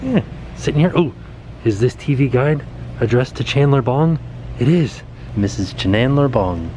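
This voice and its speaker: A man in his forties talks at an average pitch while he walks a camera around a small indoor set. He sounds eager and keeps pointing out small details. A faint air conditioning hum sits under his voice. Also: measured pace. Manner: excited, pointing things out. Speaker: vlogger. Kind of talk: casual walkthrough vlog. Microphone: camera held at arm's length.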